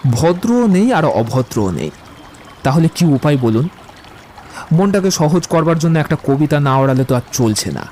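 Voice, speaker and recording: An actor playing a witty, poetry-loving young romantic suitor in an audio drama, with eloquent, theatrical delivery. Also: male voice, a little high, warm tone, slight background noise. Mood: playful, persuasive. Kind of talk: Bengali audio drama acting.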